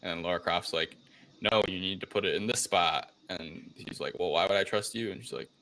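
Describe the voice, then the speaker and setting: A man co-hosting a casual film review chat, recounting things in a halting, rambling way. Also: low voice, sharp tone, poor audio. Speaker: podcast co-host.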